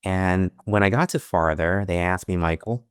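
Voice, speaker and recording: Deep-voiced, earnest man in an interview. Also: moderate pace, clear, resonant tone, no room sound, clean studio sound. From United States. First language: Chinese. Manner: earnest, with frequent restarts and fillers. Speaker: financial advisor, interview guest. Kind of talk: interview answers.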